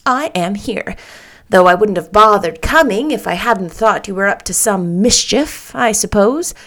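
A woman reading an audiobook, voicing a brisk, chirpy little woman near fifty who speaks emphatically. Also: medium pitch, lively intonation, fairly bright tone.

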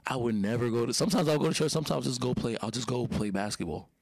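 A man's voice: medium pitch, animated, racing, creaky, dry recording. A young man from Brooklyn with a New York accent, a podcast guest telling stories in a laid-back, rambling way and often repeating himself. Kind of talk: casual storytelling conversation.

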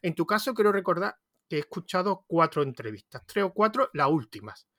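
A man's voice: sits high, very animated, fairly quick, sharp tone, dry recording, clean studio sound. A man with an Andalusian Spanish accent hosting a podcast interview, chatty and rambling, often restarting his sentences. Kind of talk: casual conversational interview.

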